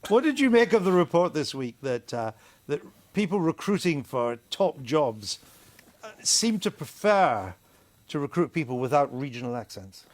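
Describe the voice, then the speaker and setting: A man's voice: a little high, big pitch swings, normal speed, dry recording. Genial male TV host. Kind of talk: TV political chat show. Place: TV studio.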